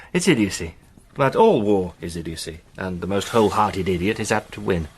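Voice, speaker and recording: An actor playing a cheerful, adventurous gentleman in a radio drama, breezy and full of old-fashioned slang. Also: deep voice, animated, moderate pace, breathy edge, exaggerated voice. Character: adventurous upper-class British gentleman, cheerful.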